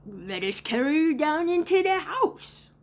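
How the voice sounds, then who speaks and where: A woman voicing an earnest, excitable little boy in a storybook, with emphatic, drawn-out childlike speech. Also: high voice, pitched above the performer's own voice. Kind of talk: storybook read-aloud character voices.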